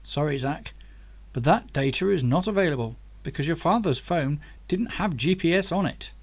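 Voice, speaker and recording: Guy doing a professor computer in a sci-fi audiobook, helpful, just the facts, slightly high male voice. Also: expressive, moderate pace. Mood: helpful, factual. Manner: helpful, informative, matter-of-fact. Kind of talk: audiobook character dialogue.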